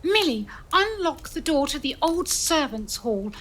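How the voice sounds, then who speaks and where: High-voiced earnest young woman played by an actress. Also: very animated, unhurried, a bit bright, full character voice. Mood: urgent. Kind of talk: audio drama acting.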